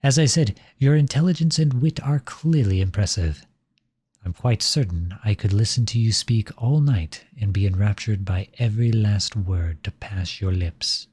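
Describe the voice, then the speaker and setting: A man playing a flirtatious, silver-tongued royal at a masquerade ball in an ASMR roleplay, speaking in courtly, formal, flattering phrases with a husky voice. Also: lowish voice, moderate pace, no echo. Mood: charming, pleased. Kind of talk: ASMR roleplay, scripted romantic monologue addressed to listener.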